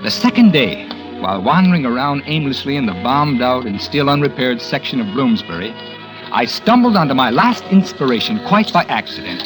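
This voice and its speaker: A man's voice: mid-range voice, sing-song, fairly quick, lightly acted, low-quality recording. A cynical, arrogant novelist with a brooding tone tells his own story, as an actor plays him. His voice rises and falls freely over a music bed. It sounds like an old radio thriller from the 1940s. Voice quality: brooding, self-absorbed narration. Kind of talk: 1940s radio drama acting.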